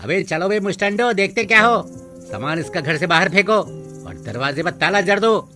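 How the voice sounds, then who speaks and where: An actor playing a greedy, ruthless village moneylender, bullying people in an animated story. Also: medium pitch, normal speed, faint music behind. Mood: gloating, threatening. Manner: bullying, rustic catchphrase-spouting. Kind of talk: acted dialogue in animated moral story.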